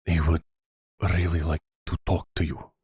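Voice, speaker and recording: Stiff, halting, deep-voiced mobster's son, played by a man. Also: little pitch movement, a little mellow, husky, dry recording, studio quality. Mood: uneasy, formal. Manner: hesitant, formal, halting. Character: Julian, stiff, formal, deferential adult son of mobster. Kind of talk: ASMR audio roleplay.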